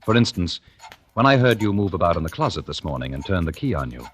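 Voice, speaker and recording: An actor in a 1940s radio thriller playing a coolly calculating, murderous husband, measured and sardonic in manner. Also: male voice, sits low, sing-song. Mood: coolly menacing. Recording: noisy, clicking old radio recording.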